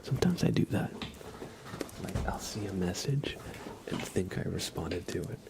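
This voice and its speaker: A man hosting an ASMR livestream, chatting casually in a breathy whisper. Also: low-pitched, expressive, normal speed, very noisy. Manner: casual chat, greeting viewers by name. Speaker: livestream host.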